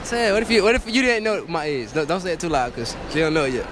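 A man's voice: a little high, sing-song, quick pace, heavy background noise. A teenage man hosting a joking street interview in a shopping mall with a handheld mic, teasing and boastful. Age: teens. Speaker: host.

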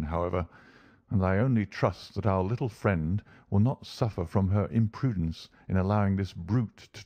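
An urbane gentleman detective from a classic storybook, played by a male narrator. He is imperturbable and courteous, with dry amusement, in a close, dry recording. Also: lowish voice, no room sound. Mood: brisk, amused.

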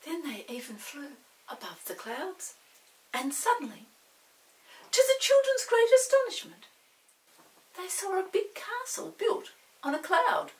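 A woman reading a children's story in an expressive, measured way. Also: very high voice, far from the mic, low-quality recording. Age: 60s. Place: small indoor set with dark backdrop.

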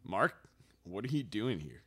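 A man's voice: fairly low pitch, very animated, measured pace, noisy background. A man playing a stressed, pleading son hosting a family dinner in an amateur radio play. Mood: upset. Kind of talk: amateur live radio drama acting. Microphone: microphone on stand.

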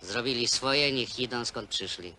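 An actor playing a gruff, dismissive city man, curt and deadpan, in naturalistic film drama. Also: medium pitch, a little slow. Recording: faintly noisy old film soundtrack.

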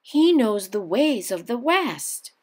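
A woman's voice: a little high, sing-song, slow pace, pitched above the performer's own voice, heavily acted. A woman reading a storybook as a proud, curious, easily flustered young woman, emphatic and questioning. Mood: surprised.

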